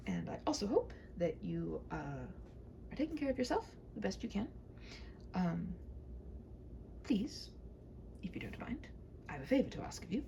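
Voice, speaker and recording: A woman's voice: medium pitch, vocal fry, dark tone, a little hiss or hum, poor audio. A woman reading a humorous story aloud as a storyteller, whimsical, with conversational asides.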